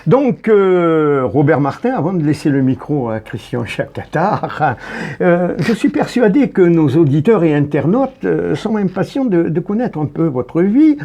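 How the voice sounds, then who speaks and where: A man's voice: fairly high pitch, sing-song, unhurried, warm tone. A male radio host leading an interview, thanking guests and asking questions with frequent filler words.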